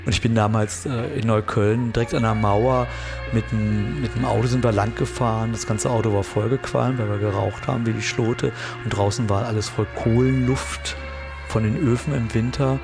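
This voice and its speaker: A man's voice: mid-range voice, fairly even intonation, normal speed, vocal fry. A man casually sharing a personal recollection as an interviewee. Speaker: interviewee, eyewitness. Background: loud music.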